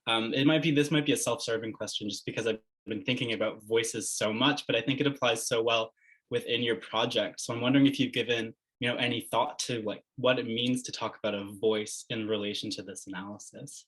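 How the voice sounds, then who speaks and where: A man's voice: mid-range voice, fairly even intonation, brisk, a bit bright, poor audio. Hesitant man asking on a video call. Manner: hesitant, many fillers and restarts. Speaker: seminar attendee asking a question. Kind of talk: online lecture Q&A.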